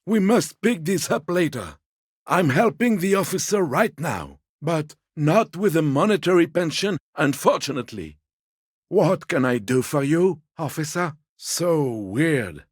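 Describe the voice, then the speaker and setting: An actor playing an elderly, polite and evasive old man in a video game, speaking in a mild, apologetic and deferential way with a husky voice. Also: fairly high pitch, no echo, clean studio sound, full character voice. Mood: apologetic, placating. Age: older. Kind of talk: video game character dialogue.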